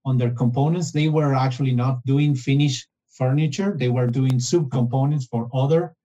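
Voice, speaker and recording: A man's voice: mid-range voice, fairly even intonation, moderate pace, warm tone, muffled recording. A man, talking as a guest in an interview, often restarting his phrases. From Argentina. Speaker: guest, business development director. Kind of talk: casual interview conversation.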